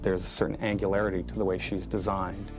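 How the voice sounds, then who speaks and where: A man's voice: low voice, level tone, quick pace. A man speaking as a film director in an interview, explaining thoughtfully and stressing points by repeating words. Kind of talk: documentary interview, reflective explanation. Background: music.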